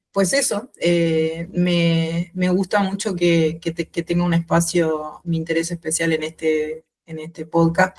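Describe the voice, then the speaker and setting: A woman in her thirties with a mixed Uruguayan and Spanish accent, speaking reflectively and haltingly as a guest in an informal interview. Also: sits low, darkish tone. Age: 30s. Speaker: podcast guest. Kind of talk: informal interview, personal account.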